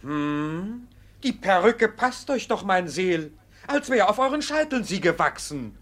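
An actor playing a sly, deferential village clerk, politely insinuating, in a classic radio comedy. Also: male voice, high-pitched, sing-song, measured pace, fairly bright tone, full character voice. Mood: sly deference.

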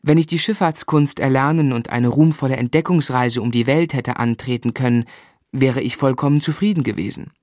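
Radio drama lead: male actor as reflective young gentleman, mid-pitched, measured and sincere. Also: no room sound, clean studio sound, lightly acted. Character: reflective, earnest young gentleman telling his life story. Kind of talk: literary radio drama narration and dialogue.

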